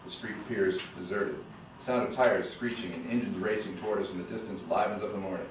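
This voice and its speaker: A low-voiced man reads the scene directions in a plain, measured way. He sits back from the mic in a strongly echoing room. A steady noise runs under the read. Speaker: narrator reading stage directions. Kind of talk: screenplay table read narration. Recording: echoey room from a distance, noisy.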